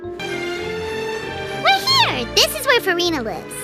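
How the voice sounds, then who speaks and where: Squeaky, chirpy little fairy companion. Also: female voice, huge pitch swings, slow pace, exaggerated voice. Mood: bubbly, cheeky. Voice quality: squeaky, childlike. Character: small childlike female fairy companion, chirpy, cheeky, helpful. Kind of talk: English video game voice acting. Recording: loud music under the voice.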